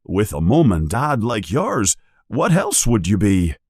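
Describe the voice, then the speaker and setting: A man voicing a big, gruff but kindly male giant groundskeeper in a low, booming voice, in a storybook reading. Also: big pitch swings, unhurried, darkish tone, dry recording, studio quality. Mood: warm.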